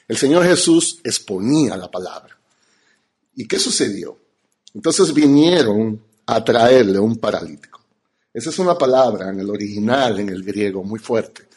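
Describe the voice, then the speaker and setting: Male pastor, Dominican Spanish accent, husky mid-range voice, emphatic teaching delivery with deliberate pauses. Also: medium pitch, a little mellow. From Dominican Republic. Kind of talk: teaching sermon to an audience.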